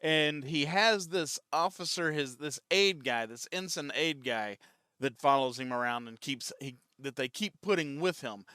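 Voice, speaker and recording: A man's voice: fairly high pitch, a little slow, bright, forceful tone, smooth, no echo, clean studio sound. A man hosting a reaction video, giving a loose, rambling, conversational recap. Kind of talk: casual recap and reaction commentary.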